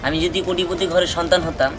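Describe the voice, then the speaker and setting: A poor, humble young lover, played by a man, speaking web drama dialogue. His voice is a little high, with music playing clearly behind it. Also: fairly even intonation, quick pace, lightly acted. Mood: earnest, humble. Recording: music over outdoor dialogue.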